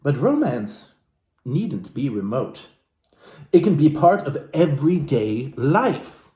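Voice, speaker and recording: Theatrical man, swooping pitch, roomy sound. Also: sing-song, warm tone. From Alaska. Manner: florid, mock-genteel, dramatic emphasis and pauses. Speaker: host reading aloud from a book. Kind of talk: comedic theatrical book reading with asides to viewers. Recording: roomy, echoey room sound.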